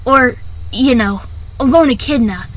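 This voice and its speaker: A woman's voice: on the high side, unhurried, low-quality recording. A woman playing a young boy fox sidekick, easygoing but easily scared, in an audio drama. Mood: reassuring.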